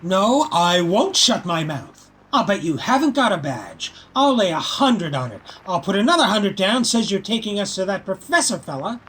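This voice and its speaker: A man's voice: sits high, very animated, normal speed, few pauses, a bit bright, husky, exaggerated voice. A man playing a plucky, wisecracking hero on the run in an audio drama, cheeky and insistent. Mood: cheeky, goading. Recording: noisy home-device recording.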